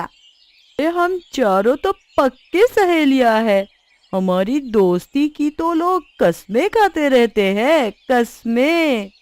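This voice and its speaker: A woman voicing chatty, teasing village women in a cartoon story, with exaggerated, expressive delivery. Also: high-pitched, no room sound. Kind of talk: cartoon moral story dialogue.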